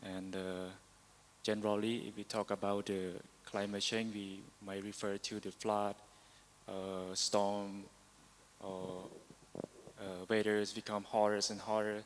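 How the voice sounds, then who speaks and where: A man from Cambodia, speaking haltingly with many pauses on a conference panel. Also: on the low side, flat delivery, in a large hall, a little hiss or hum. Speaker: embassy delegate. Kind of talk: panel sharing. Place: large conference hall.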